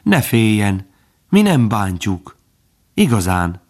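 A man's voice: on the low side, very animated, a little mellow. A man voicing a young male fawn in a storybook, an innocent, curious child who speaks eagerly and politely. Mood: polite, pleading. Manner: eager, exclaiming, politely pleading.

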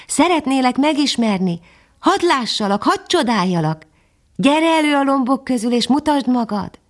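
A woman's voice: sits high, lively intonation, pitched above the performer's own voice. A woman voicing an eager, naive young male house dog in a storybook, earnest and wide-eyed. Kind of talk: storybook character voice.

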